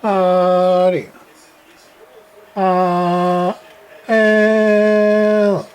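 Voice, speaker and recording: A man talks his way through a radio repair, muttering test calls and readings at a very slow, drawn-out pace. His voice sits a little high for a man. Steady static and noise from the radio hang under everything he says. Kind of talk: casual workbench commentary.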